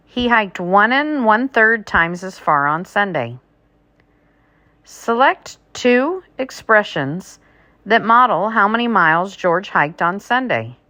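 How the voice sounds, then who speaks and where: Woman, teacher, very slow pace, deliberate read-aloud of test questions, medium pitch, dry recording. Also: sharp tone, no echo. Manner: deliberate, item-by-item enunciation.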